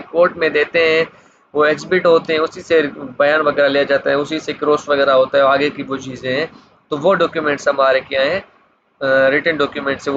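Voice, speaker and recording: A muffled, lo-fi recording with clear background noise carries a man's fairly high voice. He speaks with a Pakistani accent in the manner of an informal lecturer, reading out material and then explaining it, often repeating his phrases. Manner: explanatory, slows markedly when reading English clauses, repeats phrases. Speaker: law lecturer.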